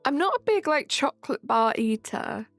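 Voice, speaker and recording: A woman's voice: sits high, very animated, normal speed, no echo. A young woman live-streaming a game, chatting casually and answering viewers in chat as she plays. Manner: chatty, reads and answers chat while playing. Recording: faint background music.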